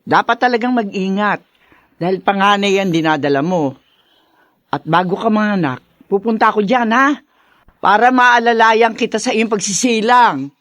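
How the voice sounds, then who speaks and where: A woman playing an excited, doting older mother in a radio drama, exclaiming and giving motherly advice. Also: mid-range voice, moderate pace, no room sound, clean studio sound, lightly acted. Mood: fond, advising. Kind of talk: Tagalog radio drama acting.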